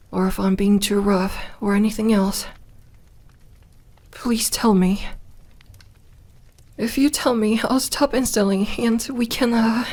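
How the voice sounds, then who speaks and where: Actress as lonely vampire girl for ASMR roleplay: slow, halting delivery, intimate and lovestruck, mid-pitched. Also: a little mellow. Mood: nervous, gentle. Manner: hesitant, halting, intimate, sometimes dropping to a whisper.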